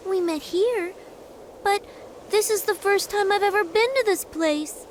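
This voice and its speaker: A woman's voice: extremely high pitch, measured pace, slight background noise. A woman voicing a polite, earnest young woman in a game cutscene. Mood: puzzled. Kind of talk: video game cutscene acting.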